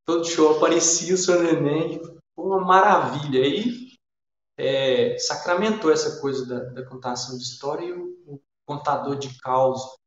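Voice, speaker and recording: A man's voice: on the high side, normal speed. Man, Minas Gerais accent, shy rambling storyteller, guest on an interview; very reverberant, muffled remote sound. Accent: Minas Gerais Brazilian Portuguese. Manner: shy, meandering, folksy storytelling. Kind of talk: casual reminiscing interview talk.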